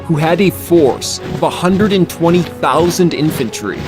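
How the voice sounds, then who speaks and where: A man narrating scripture in a solemn, deliberate, emphatic storytelling style, as in a dramatized audiobook. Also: fairly high pitch, expressive, music in the background.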